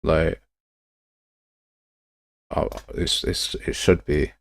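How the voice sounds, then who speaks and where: A man's voice: rumbling low, level tone, drawn-out words, gravelly, no room sound. A man hosting a game development livestream, talking casually and thinking aloud as he works in a rambling, informal, self-deprecating way. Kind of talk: casual live tutorial, thinking aloud while working.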